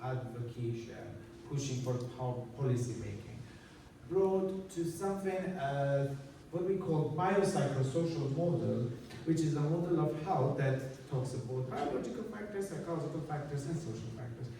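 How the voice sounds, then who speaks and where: A man's voice: mid-range voice, animated, moderate pace, warm tone, slight background noise. A man from Armenia, giving an earnest, explanatory conference talk with slides and many restarts. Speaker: conference speaker. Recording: echoey hall, distant mic.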